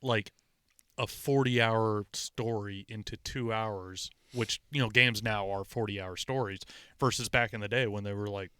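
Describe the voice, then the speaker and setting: A man with a bright, punchy voice and a clean, resonant tone, captured close and dry. He talks like an opinionated podcast co-host, rambling and animated, swearing casually as he goes. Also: fairly low pitch, normal speed, smooth, no echo. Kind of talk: casual opinionated film and game chat.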